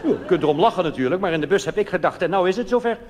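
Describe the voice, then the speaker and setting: A man's voice: high-pitched, expressive, racing, crowd noise. A man with a Netherlands Dutch accent, a cabaret comedian telling a comic monologue live on stage in a conversational way, full of colloquial asides. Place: on stage in a theatre. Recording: noisy live recording in reverberant hall.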